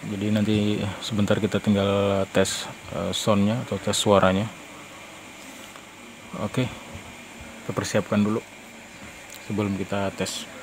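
A man with a fairly low voice talks informally as he demonstrates something by hand. His delivery is halting, with pauses mid-phrase. A faint noise sits behind him in the recording. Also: little pitch movement. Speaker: host of a DIY audio channel. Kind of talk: casual hands-on demonstration.

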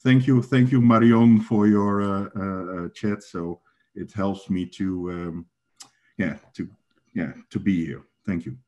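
A man speaks slowly and haltingly in a deep voice. His words come through a dull, lo-fi video call line. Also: steady tone, a little mellow. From Netherlands. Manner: halting, with many fillers and restarts. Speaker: peer support worker. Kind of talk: heartfelt introduction.

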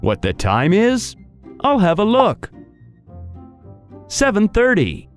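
A woman voicing a small, helpful, slightly puzzled navigator gadget in a children's cartoon, with a deliberate, swooping delivery. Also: mid-range voice, wildly swooping pitch. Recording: music behind the voice.

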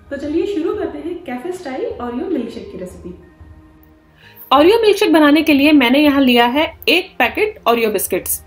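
A woman in her thirties hosting a home cooking channel, explaining a recipe step by step in a clear instructional voice. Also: high voice. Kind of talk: recipe tutorial narration. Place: home kitchen, indoors.

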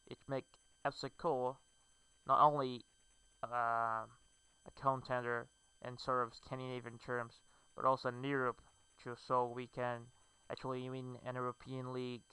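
Clear, bright-voiced Brazilian man. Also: medium pitch, flat delivery, unhurried, no echo, rough recording. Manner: hesitant, fillers, non-native phrasing. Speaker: gamer. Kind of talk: game commentary.

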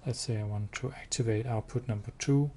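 A man giving a hands-on product demo indoors, explaining step by step in a halting, matter-of-fact way. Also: medium pitch, fairly even intonation, warm tone. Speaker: product demonstrator. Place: indoors, showroom with wall-mounted devices. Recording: clear background noise.

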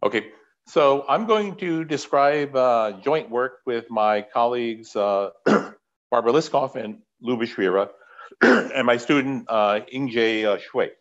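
An older man giving a keynote lecture from a home office over a headset, speaking in a measured way with pauses and frequent throat clearing. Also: medium pitch. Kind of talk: academic lecture. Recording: dull lo-fi video call audio.

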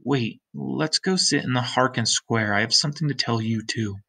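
Gravelly man reading aloud to class. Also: moderate pace. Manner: animated, shifts pitch for the characters' lines. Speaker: teacher reading aloud to students. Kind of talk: read-aloud of a graphic novel with character voices and questions to class. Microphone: webcam on a computer screen recording.

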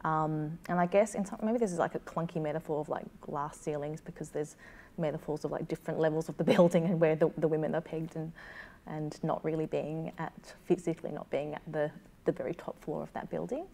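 An Australian woman in her thirties with a slightly low voice talks in a casual interview. She is thoughtful and self-deprecating, feeling her way through sentences with pauses and hedged phrasing, like a writer explaining her work. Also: fairly even intonation, gravelly, mellow. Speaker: playwright being interviewed. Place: small room, seated indoors.

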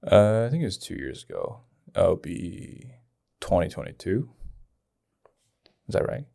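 Low, creaky-voiced young Chinese man. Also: sing-song, unhurried, dark tone. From Dalian, China. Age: 20s. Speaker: podcast guest. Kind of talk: casual personal testimony in an interview. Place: small indoor podcast studio. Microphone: close boom-arm studio microphone.

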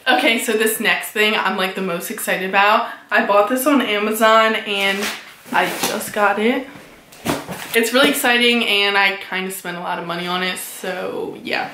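A woman in her late teens talking casually in a haul vlog, filling her speech with "like" and sounding a little hoarse from a cold. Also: mid-range voice, steady tone, moderate pace, bright, forceful tone, echoey room, slight background noise, low-quality recording. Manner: chatty, many "like" fillers, upbeat about purchases. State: recovering from a cold, somewhat hoarse. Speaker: vlogger.

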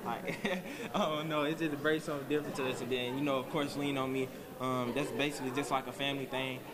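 A man's voice: medium pitch, steady tone, quick pace. Young guy, football player, laid back, lots of ums, crowd noise behind. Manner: laid-back, rambling with many fillers. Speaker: high school football player. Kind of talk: casual interview answers.

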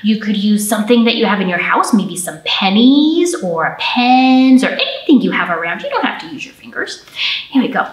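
A woman's voice: mid-range voice, very animated, normal speed, slight background noise. An American woman in her thirties speaking as a children's storytime host, explaining things simply and encouragingly to little kids. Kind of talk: storytime talk for young children. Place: indoor room with a painted mural. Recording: roomy echo, camera at a distance.

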